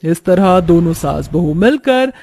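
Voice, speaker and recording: A man's voice: high-pitched, lively intonation, unhurried, darkish tone. A man narrating a scripted cartoon family story.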